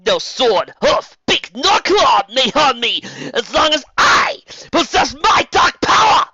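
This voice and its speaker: A man's voice: extremely high pitch, big pitch swings, no room sound, muffled recording, voice pushed up, exaggerated voice. A man voicing an evil, arrogant unicorn enchantress from a fantasy story, theatrical and grandiose in manner. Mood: boastful menace. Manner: grandiose, shouting, drawn-out menacing delivery.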